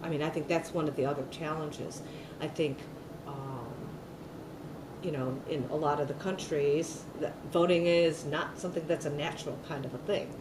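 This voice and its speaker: A woman's voice: low-pitched, fairly even intonation, normal speed. A middle-aged woman giving an oral history interview, talking loosely with many fillers and restarts. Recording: echoey room, mic at a distance, noisy.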